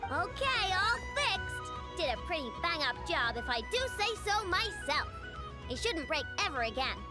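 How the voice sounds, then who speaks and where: Tiny childlike game companion, very high squeaky voice, swooping intonation, cheeky, chatty, music underneath. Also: female voice, very animated, normal speed. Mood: curious, chirpy. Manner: exclamatory, casual, chatty. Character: small excitable childlike female companion, casual and cheeky. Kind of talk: animated video game voice acting.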